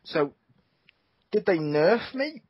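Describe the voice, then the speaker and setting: A man's voice: high-pitched, sing-song, takes it slowly, husky, no echo. A man hosting a casual gaming podcast, joking and sarcastic. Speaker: podcast host. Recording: dull lo-fi sound.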